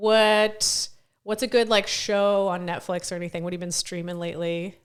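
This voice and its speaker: Woman host, lowish pitch, relaxed and easygoing. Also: normal speed, clean tone. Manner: relaxed, laughs easily. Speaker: podcast host. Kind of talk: casual interview.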